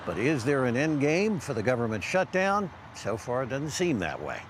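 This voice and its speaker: A man's voice: mid-range voice, big pitch swings, moderate pace, slight background noise. A man with an American accent delivering a scripted television news report as a field reporter.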